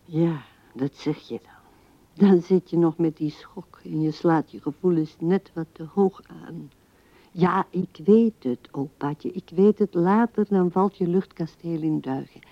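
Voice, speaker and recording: An actress playing an elderly, pensive widow murmuring a monologue in a radio drama, often slipping into whispers. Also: female voice, lowish voice, measured pace, dry recording. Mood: grumbling, resigned. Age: older. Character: pensive seventy-year-old widow musing at her husband's grave. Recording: dull vintage radio recording.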